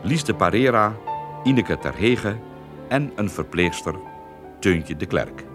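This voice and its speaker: A man giving a formal, measured scripted broadcast announcement, reading radio drama credits with deliberate pauses. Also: lowish voice, lively intonation. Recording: prominent music with the voice. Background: music.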